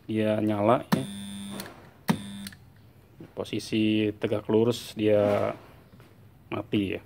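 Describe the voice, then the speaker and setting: A man showing a spot welding machine in a workshop, slowly repeating each point and tagging lines with "ya". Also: fairly low pitch, vocal fry, background noise. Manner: slow, repeats points with a tag "ya". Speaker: product reviewer. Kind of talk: casual tool demonstration. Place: workshop bench, indoors.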